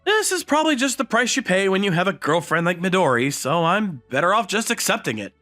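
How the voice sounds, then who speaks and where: A man acting out an earnest, self-effacing high school boy in a romance comic dub. His delivery is lively, swinging readily in pitch between narration and dialogue. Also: a little fast, soft background music, heavily acted. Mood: contented narration. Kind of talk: anime-style manga dub acting and narration.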